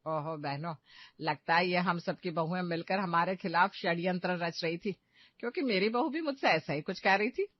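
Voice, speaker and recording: A woman's voice: fairly low pitch, normal speed, no room sound, lightly acted. Cartoon mother-in-law, played by a woman: middle-aged, contemptuous schemer, dry and slightly muffled recording. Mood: suspicious. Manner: gloating, contemptuous. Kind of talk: cartoon moral story acting.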